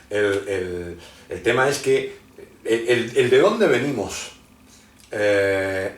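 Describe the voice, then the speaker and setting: A man holds forth as a guest in a conversational interview, his voice sitting low. He rambles and explains, trailing into hesitations and restarts. The sound is roomy and picked up from a mic some distance away. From Argentina.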